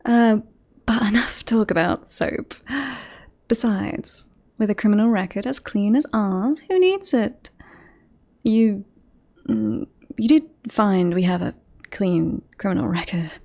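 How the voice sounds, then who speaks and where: A woman playing a devoted bodyguard in an ASMR roleplay, politely reassuring and professional on the surface, with an obsessive streak slipping into hushed intimacy. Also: mid-range voice, animated, slow pace, pauses often. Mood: flustered, covering up. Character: devoted yandere bodyguard woman, composed front over creepy obsession.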